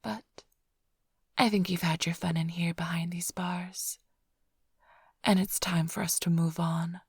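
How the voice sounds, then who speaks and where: A woman playing a flirtatious, smug vampire thief in an intimate ASMR roleplay, teasing and sly in a breathy, often whispered voice. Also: lowish voice, little pitch movement, a little slow, no echo, studio quality, heavily acted. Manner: teasing, purring, theatrically sly. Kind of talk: ASMR roleplay, intimate close address to listener.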